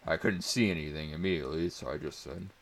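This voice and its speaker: Deep, creaky male story narrator. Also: quick pace, a bit bright. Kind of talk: dramatic first-person story reading.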